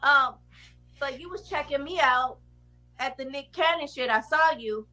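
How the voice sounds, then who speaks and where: A woman's voice: high voice, moderate pace, faint music behind. A woman in her forties chatting casually on a live stream, indignant and profane as she tells her side. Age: 40s. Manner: indignant, profane, animated storytelling.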